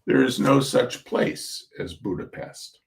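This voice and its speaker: A man's voice: medium pitch, expressive, slow pace, creaky. A man hosting a reading of humorous essays, theatrical and emphatic, with mock indignation and chatty asides.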